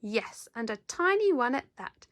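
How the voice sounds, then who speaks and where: A woman voicing an indignant television man in a storybook, scolding with huffy, deliberate emphasis. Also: fairly high pitch, very animated, fairly bright tone, no room sound, clean studio sound.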